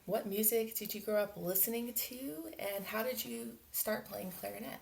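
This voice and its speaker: A woman's voice: medium pitch, steady tone, normal speed, creaky, darkish tone, husky. A woman hosting a podcast, reading a scripted introduction and then asking encouraging interview questions. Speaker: podcast host.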